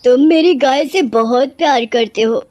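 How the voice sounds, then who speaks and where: A woman's voice: high-pitched, unhurried, warm tone, no room sound, clean studio sound. A woman voicing a scary, bossy but fair witch in a cartoon story, with a menacing, scolding delivery. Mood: stern, relenting.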